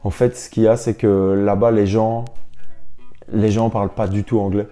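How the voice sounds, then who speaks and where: A young man answers interview questions as a sportsman. His voice sits on the low side and is deeply mellow and dark. He talks informally and reflectively, with music playing behind him. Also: little pitch movement, normal speed. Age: young adult. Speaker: professional basketball player, interview guest. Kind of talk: casual interview talk.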